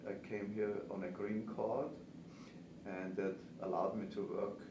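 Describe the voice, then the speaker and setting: A man's voice: lowish voice, flat delivery, measured pace, dull audio. A man in his seventies with an Austrian accent, a native German speaker, talking conversationally as a veteran pastry instructor answering interview questions. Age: 70s. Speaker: pastry chef and cake instructor. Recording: distant, roomy, noisy recording.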